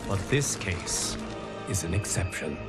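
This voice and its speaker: The low, solemn voice of a stern cosmic guardian that you would hear over a video game's story cutscene, formally pronouncing doom above prominent music. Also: male voice, lively intonation. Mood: cold, resolute. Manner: grave, formal, measured storytelling. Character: solemn, stern male guardian of timelines, unforgiving. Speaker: story narrator in a fighting video game. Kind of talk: scripted dramatic narration. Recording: clear music under the voice. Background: background music.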